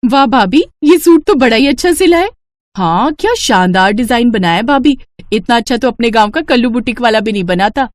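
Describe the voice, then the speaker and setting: A woman's voice: a little high, lively intonation, brisk, no room sound, studio quality, lightly acted. A woman voicing an eager, pleading young village sister-in-law with a perky manner in a storybook tale. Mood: delighted.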